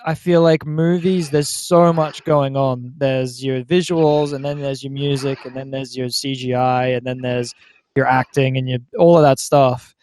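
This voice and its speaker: A man's voice: mid-range voice, fairly even intonation, a little slow, a little mellow, no echo. A young man, thinking aloud hesitantly in a casual group podcast chat. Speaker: band member and podcast guest.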